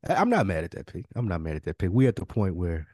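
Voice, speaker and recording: Very low-voiced American man, casual co-host. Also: sing-song, fast, a little mellow, husky. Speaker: podcast co-host. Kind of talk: casual chat, fantasy draft banter.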